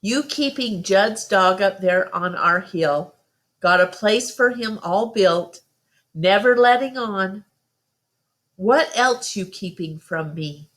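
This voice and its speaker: A woman reading the part of a stern, principled country father in a storybook, sharp and admonishing in folksy phrases. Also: medium pitch, expressive, slow. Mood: suspicious.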